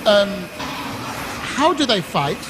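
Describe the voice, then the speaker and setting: An actor playing an exasperated, bossy city watch captain who exclaims in disbelief in a live radio drama. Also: male voice, high-pitched, big pitch swings, takes it slowly, heavily acted. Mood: curious, surprised. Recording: noisy echoey hall, live stage recording.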